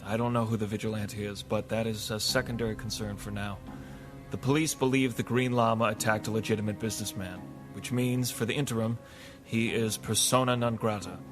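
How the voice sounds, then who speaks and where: An actor playing a serious, resolute strategist hero in a radio drama, speaking in a formal, deliberate way. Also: male voice, lowish voice, steady tone, over music, natural voice. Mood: grave, composed.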